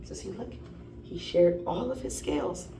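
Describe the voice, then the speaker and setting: A woman teacher reading a children's picture book aloud in an expressive storytelling style. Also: lowish voice, moderate pace. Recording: roomy, distant mic, faint noise.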